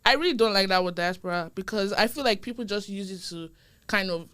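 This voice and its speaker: A woman's voice: medium pitch, fairly quick, smooth. A young woman with a Nigerian accent, chatting casually on a podcast and telling stories with emphasis. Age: young adult. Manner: animated, emphatic storytelling. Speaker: podcast speaker. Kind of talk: casual conversation.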